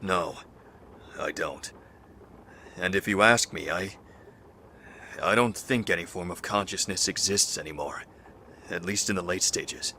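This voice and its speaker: A man playing a calm, learned, considerate survivor in an audio drama, speaking formally and carefully. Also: low-pitched, unhurried, pauses often, a little hiss or hum, heavily acted. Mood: sober, explanatory.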